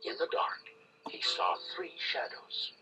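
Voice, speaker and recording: A man's voice: unhurried, a little hiss or hum, low-quality recording. A man reading a scripted children's read-along story as narrator, on a vintage cassette recording. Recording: vintage cassette with loud music behind. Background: music and story sound effects.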